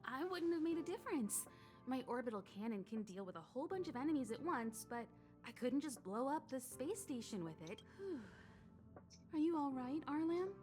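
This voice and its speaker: A woman playing a composed, motherly navigator of a space train in a video game, unhurried and gently teasing. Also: high voice, big pitch swings. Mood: reassuring. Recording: music behind the voice.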